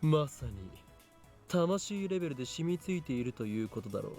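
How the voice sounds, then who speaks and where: A man's voice: fairly high pitch, animated, rapid-fire, darkish tone, soft background music. A man voicing an eccentric, mocking young lab leader in a Japanese visual novel, pompous and declamatory. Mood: accusing.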